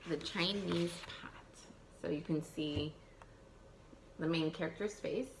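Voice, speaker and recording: A woman teacher reading a picture book aloud to young children, expressive, thinking aloud and putting questions to her listeners. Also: sits low, takes it slowly, background noise. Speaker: elementary school teacher.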